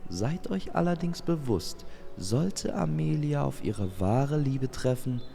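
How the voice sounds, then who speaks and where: Man playing a lively, mellow young love angel. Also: mid-range voice, takes it slowly, vocal fry, faint music behind, voice pushed up. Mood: confident, obliging. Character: youthful male winged love angel, confident and obliging.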